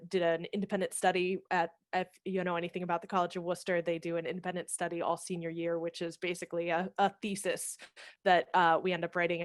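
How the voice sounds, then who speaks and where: A woman's voice: on the low side, no room sound, clean studio sound. An American woman in her thirties, chatting informally and enthusiastically as a guest in a video interview. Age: 30s. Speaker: theater managing director and actor, interview guest. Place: home room indoors, on a video call. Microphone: headset mic.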